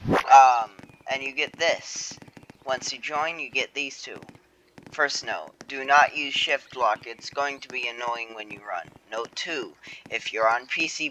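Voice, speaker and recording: A man's voice: mid-range voice, sharp tone, slight background noise, rough recording. A young man casually walking through his own game on a screen recording, rambling with fillers and self-corrections. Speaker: game creator showing his game. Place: home room.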